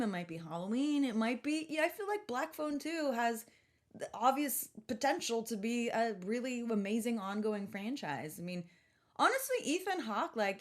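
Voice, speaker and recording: A woman co-hosting a podcast in a casual, animated, jokey way, swearing freely. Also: fairly high pitch. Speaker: podcast co-host. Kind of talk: casual chat.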